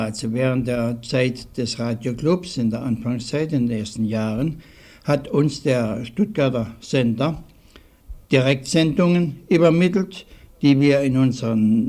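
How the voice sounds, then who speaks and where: An older man giving recollections in an interview, speaking haltingly and deliberately with old-fashioned formal phrasing. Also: medium pitch, a little mellow. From southwestern Germany. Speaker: interviewee, early radio hobbyist. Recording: 1950s archival radio recording.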